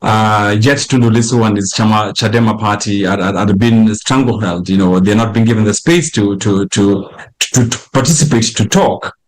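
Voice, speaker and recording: A man from Kenya speaks as a political guest on a news show, forceful and insistent, stumbling over restarts as he builds his points. His voice sits fairly low. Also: normal speed, poor audio. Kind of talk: news interview.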